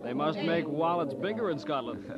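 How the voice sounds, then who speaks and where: An actor playing a matter-of-fact, affable immigration officer in an audio drama. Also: male voice, on the high side, animated, normal speed, close to an everyday voice. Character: businesslike, easygoing male immigration officer. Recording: dull sound, busy crowd behind.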